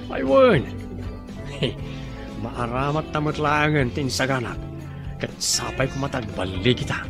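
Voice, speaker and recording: A man's voice: mid-range voice, lively intonation, a little slow, music in the background. A man playing a playful, mocking friend and schemer, exaggerated, in an Ilocano radio drama. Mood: eager, conspiratorial.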